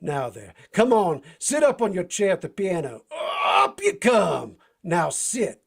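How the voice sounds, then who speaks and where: A husky Southern cowboy type from old-time radio. His pitch swings wildly as he drawls folksy slang, tough yet playful and easygoing. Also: wildly swooping pitch, a little slow, full character voice. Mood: cocky teasing. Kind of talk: vintage radio drama acting.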